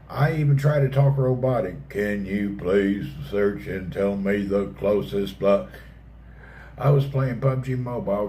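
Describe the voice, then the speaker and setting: A man's voice: mid-range voice, dark tone, slight background noise. A middle-aged man with a Southern American accent, chatting casually with viewers on a live stream in a folksy, joking way. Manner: folksy, joking, reads chat aloud, swears casually. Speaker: livestream host.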